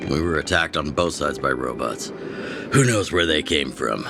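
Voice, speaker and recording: A man playing a gruff, composed resistance chief in a game cutscene, measured and wry, blunt but courteous. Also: extremely low pitch. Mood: easygoing. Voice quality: gravelly. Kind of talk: dramatic game cutscene acting. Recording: loud music behind. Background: loud background music.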